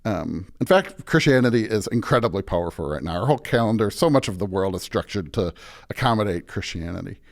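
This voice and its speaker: A man's voice: fairly low pitch, expressive, fairly quick, vocal fry. Emphatic man, a pastor talking faith. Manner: emphatic, repeatedly checks with "right?". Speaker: pastor, podcast guest. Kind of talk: conversational preaching in an interview.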